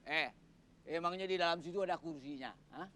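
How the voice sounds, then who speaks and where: A blunt boss. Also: male voice, a little high, fairly quick, pushed and bright. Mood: bossy. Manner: blunt, gruff and commanding. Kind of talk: sitcom acting.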